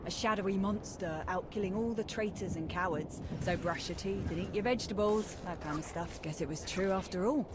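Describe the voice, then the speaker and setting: An actress playing a loyal, easygoing army major in a video game, with casual phrasing and dry humour. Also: female voice, medium pitch, a little fast, hardly any pauses, noisy background, natural voice. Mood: casual, wry.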